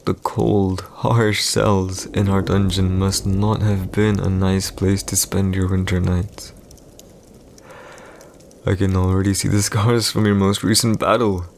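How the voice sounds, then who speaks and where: A man performs an arrogant villain emperor in an intimate audio roleplay. His voice sits low with a heavy, gravelly creak. He speaks formally and theatrically to one listener, smug and teasing, with hurt pride underneath. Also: level tone, a little slow, a little mellow, slight background noise. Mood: menacing, cool. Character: arrogant villainous young male emperor, teasing and secretly wounded.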